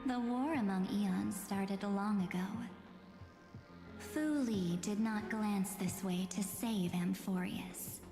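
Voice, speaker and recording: An enigmatic woman from a video game, calm and mocking. She speaks slowly, with condescending drama, as if delivering cruel truths. Her voice rings in a long cavernous reverb over soft music. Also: medium pitch. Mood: grave, scornful. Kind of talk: theatrical scripted game dialogue. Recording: echoey cavernous hall effect, music behind.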